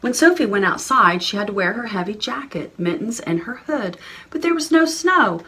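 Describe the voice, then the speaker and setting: A woman's voice: mid-range voice, very animated, moderate pace, sharp tone, roomy sound, distant mic. A woman librarian leading preschool storytime, sing-song and encouraging as she talks to small children. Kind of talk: preschool storytime with songs and reading.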